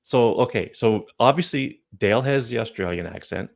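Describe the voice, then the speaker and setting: A man's voice: medium pitch, lively intonation, moderate pace, gravelly. A man with a Canadian accent hosting a podcast, chatting casually in a friendly, rambling way with many restarts. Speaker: podcast host.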